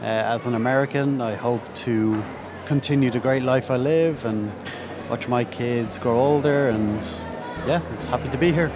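A man from Ireland speaks in a cheerful, easygoing way. Clear traffic and city noise surrounds him outdoors. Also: medium pitch, normal speed. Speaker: new citizen.

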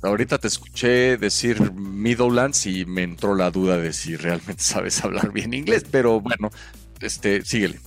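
A man co-hosting a sports podcast, who explains his points in a measured way and teases his partner. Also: lowish voice, creaky, faint music behind. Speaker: podcast co-host. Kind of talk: casual sports chat.

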